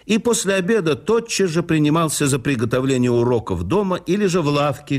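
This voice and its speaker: A man narrating a memoir in a measured storytelling manner for a radio production. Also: mid-range voice. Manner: measured storytelling with lively intonation.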